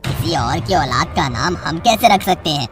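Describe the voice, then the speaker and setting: A woman's voice: low voice, lively intonation, moderate pace, over music. A woman voicing an irritable, poor male snake charmer in a cartoon story, grumbling and blunt. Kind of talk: cartoon voice acting.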